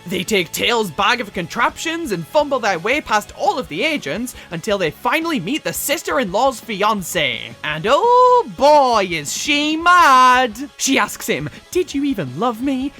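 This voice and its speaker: Animated, bright-voiced woman reviewer. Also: fairly high pitch, normal speed, hardly any pauses, faint music behind. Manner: theatrical, exasperated emphasis, swearing. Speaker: video essay host. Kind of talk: scripted movie review commentary.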